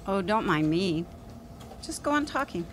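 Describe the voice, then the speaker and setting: A woman's voice: medium pitch, big pitch swings, measured pace, slight background noise. A woman playing a wry, pushy small-town newspaper reporter in a radio drama, sardonic and nonchalant.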